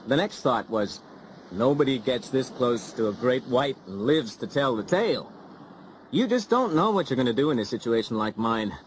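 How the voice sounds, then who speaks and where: A man's voice: mid-range voice, a little hiss or hum, lightly acted, rough recording. A man playing a lifeguard telling a tense story. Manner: vivid storytelling, animated. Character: California lifeguard recounting a shark attack. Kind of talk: scripted coursebook narration.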